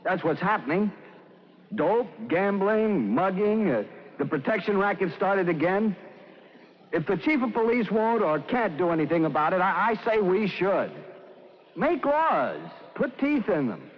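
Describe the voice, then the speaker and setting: An actor playing an earnest young city politician making an impassioned, slightly halting speech in 1950s radio drama style. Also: male voice, high voice, sing-song, exaggerated voice. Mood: indignant. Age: young adult. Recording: dull radio recording, reverberant hall.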